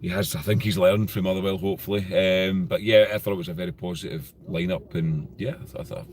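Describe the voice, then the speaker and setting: A Scottish man co-hosting a casual football podcast, relaxed and plainspoken with hesitant ums. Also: deep voice, quick pace, a little hiss or hum. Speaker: podcast co-host.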